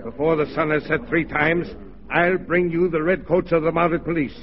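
A man's voice: a little high, fairly even intonation, moderate pace, close to an everyday voice. An actor playing a menacing, boastful outlaw gunman with theatrical threats in a 1940s radio western. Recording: muffled, reverberant 1940s radio recording.

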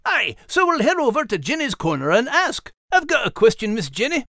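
A man's voice: extremely high pitch, huge pitch swings, brisk, gravelly, sharp tone, no room sound, studio quality, heavily acted. A man voicing a folksy, curious animal podcast host, in scripted banter.

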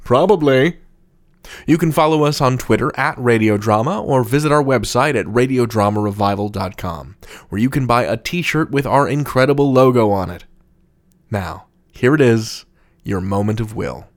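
A man with a medium-pitched voice hosts a podcast. His delivery is that of a prepared presenter, with a wry and whimsical streak. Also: moderate pace. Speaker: podcast host. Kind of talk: podcast hosting and scripted outro.

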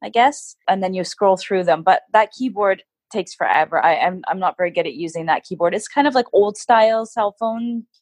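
A Canadian woman, a guest in an interview, explaining things patiently in casual chat, modest and quick to laugh at herself. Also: mid-range voice, no echo. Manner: self-deprecating, laughs often, explains patiently.

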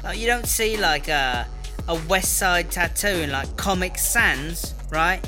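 A woman's voice: fairly low pitch, animated, measured pace, over music. A woman playing a cocky, defensive, foul-mouthed British inmate in a comedy audio drama, with a slangy, blustering, rambling delivery.

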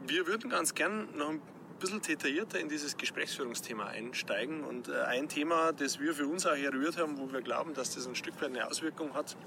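Bavarian host with a slightly high voice. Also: male voice, a little fast, gravelly, hardly any pauses. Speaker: podcast host. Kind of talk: podcast interview. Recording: background traffic noise.

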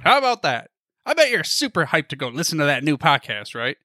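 A man's voice: a little high, big pitch swings, fairly quick, over music. A man hosting a podcast, reading a scripted network promo in an upbeat, chatty manner straight to the listener. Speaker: podcast host.

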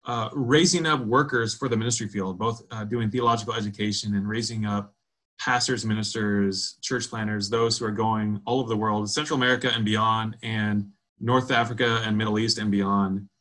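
Male host, bright and friendly, casual pauses and restarts. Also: lowish voice, steady tone. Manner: friendly, halting with fillers. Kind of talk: video call interview.

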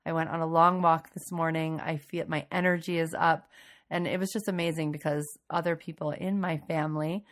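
A woman hosting a casual podcast intro, raspy from getting over a cold, chatty and enthusiastic. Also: low voice, little pitch movement, a little fast, a bit bright. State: recovering from a cold. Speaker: podcast host.

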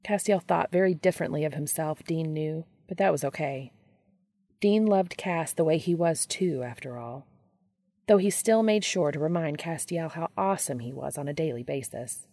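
A woman's voice: low voice, normal speed, mellow, no room sound, studio quality. A woman reading fiction aloud as scripted audiobook narration. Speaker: audiobook reader of fan fiction.

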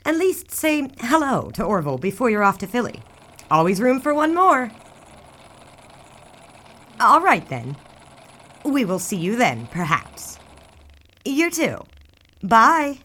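A woman's voice: fairly high pitch, sing-song, slow, long pauses, sharp tone, heavily acted. A woman playing a polite, chatty 1920s newspaper receptionist taking a telephone call in a radio drama. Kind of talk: radio drama acting, one-sided phone call.

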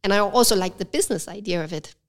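A woman with a Danish accent and a slight cold, talking thoughtfully in an interview and often pausing with fillers. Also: medium pitch. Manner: thoughtful, hesitant with frequent fillers. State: has a cold, slightly stuffy. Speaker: cafe and yoga studio owner, guest. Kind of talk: casual interview conversation.

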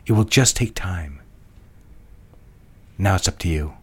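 An actor in an audio drama playing a controlling, possessive man who speaks slowly and quietly in a commanding tone. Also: low-pitched, animated, slightly rough, heavily acted. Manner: slow, hushed, commanding.

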